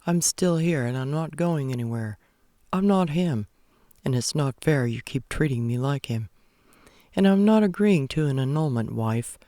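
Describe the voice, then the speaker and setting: A man's voice: medium pitch, animated, moderate pace, warm tone, dry recording, clean studio sound, lightly acted. A man voicing an earnest, devoted young cowboy groom in a romance novel. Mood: frustrated, insistent.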